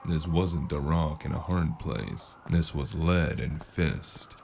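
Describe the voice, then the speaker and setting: An actor playing a cynical, deadpan mercenary in a noir audio drama, with a deep gravelly voice. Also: male voice, slow pace, slight background noise, full character voice. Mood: weary narration. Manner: sardonic, deadpan. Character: cynical, sarcastic mercenary man narrating his own noir tale.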